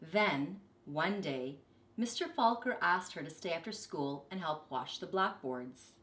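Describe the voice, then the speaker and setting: A woman reading a children's story aloud expressively, with deliberate, dramatic emphasis. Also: deep voice, lively intonation, fairly bright tone, echoey room. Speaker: storybook reader, teacher.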